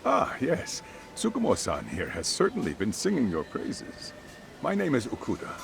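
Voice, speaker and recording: A man's voice: medium pitch, wildly swooping pitch, normal speed, vocal fry, slight background noise. An actor playing a polite private school chairman in a video game, introducing himself formally. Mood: courteous.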